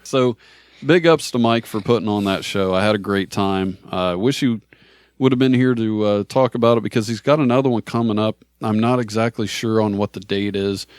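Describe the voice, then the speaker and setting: A man's voice: fairly low pitch, brisk, clear, resonant tone, no echo, studio quality. An American man hosting a casual comedy podcast in an easygoing conversational way. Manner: laughs often while talking. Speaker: podcast host.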